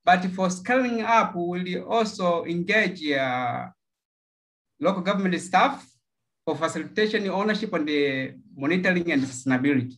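A man from Tanzania, giving a prepared project pitch over a video call. He speaks haltingly, with many fillers and repeated words. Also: fairly bright tone, rough recording. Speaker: project pitcher from a local NGO.

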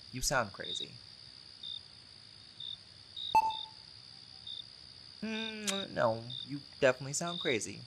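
A man's voice: medium pitch, noisy background. A man playing a laid-back, charming, centuries-old vampire who teases and jokes casually with a friend in an audio roleplay. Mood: playful. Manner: joking, teasing, often chuckling.

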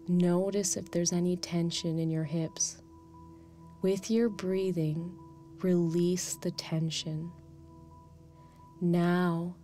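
Slow, mellow woman acting as soothing meditation guide. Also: on the low side. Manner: slow, soothing, gently instructing with pauses. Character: Dynamo Spiritus, calm nurturing meditation guide addressing youngsters. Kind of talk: guided children's body scan meditation. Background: background music.

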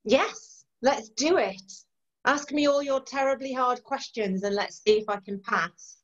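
A woman's voice: sits high, measured pace. A woman in her forties from East Yorkshire with a mixed Yorkshire and Irish accent, an author chatting enthusiastically and laughing easily on a video call from a room of bookshelves. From East Yorkshire, England. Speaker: author being interviewed. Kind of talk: casual chat. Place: home room lined with bookshelves. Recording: dull lo-fi video call audio.

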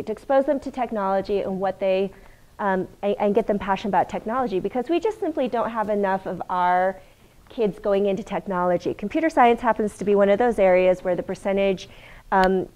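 A middle-aged woman with a loud voice giving a lively, conversational guest lecture in a university classroom, asking the audience questions. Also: medium pitch.

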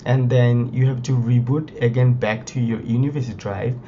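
A man with a hoarse voice from being sick, casually walking through a step-by-step computer setup guide and filling his talk with "you know" and "like.". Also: medium pitch, fairly even intonation, normal speed, dark tone. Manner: informal, many fillers like "you know" and "like". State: hoarse from illness. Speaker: tech video host. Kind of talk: casual tutorial walkthrough. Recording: slightly dull lo-fi with faint noise.

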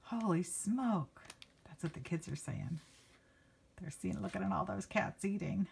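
A woman's voice: medium pitch, unhurried, vocal fry, darkish tone, slightly rough. A woman reading a picture book aloud to children like a teacher, expressively stretching key words and adding casual asides. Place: indoors, book held before a handheld camera.

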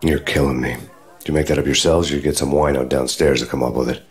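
Extremely deep, deadpan hard-boiled noir cop. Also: male voice, fast talker, husky. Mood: sardonic. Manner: deadpan, sardonic, brooding. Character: world-weary, hard-boiled undercover cop narrating his own story. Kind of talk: noir first-person game narration. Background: music.

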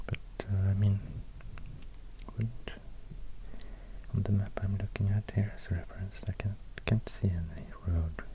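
Hushed, low Swedish-accented man, whispering. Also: steady tone, slight background noise, poor audio. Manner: hushed, unhurried, halting. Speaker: ASMR host. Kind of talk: soft-spoken whispered ASMR. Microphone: close binaural microphone.

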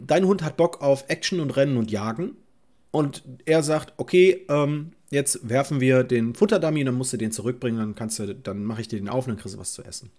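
Casual middle-aged man giving dog advice. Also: medium pitch. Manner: casual, thinking aloud with many fillers and restarts. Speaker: dog trainer. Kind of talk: advice video, reading and answering a viewer letter. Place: home office with bookshelves, indoors.

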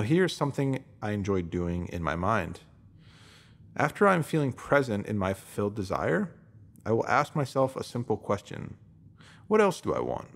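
Low-pitched man reading self-help teachings. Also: vocal fry. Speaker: narrator reading a written post. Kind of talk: scripted self-help narration.

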